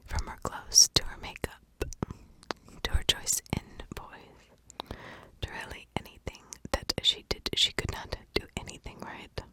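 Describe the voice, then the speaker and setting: A woman hosting an ASMR video, telling a story in a breathy, unvoiced whisper close to the microphone, lingering on and repeating words. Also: unhurried. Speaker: ASMR video host, true crime narrator.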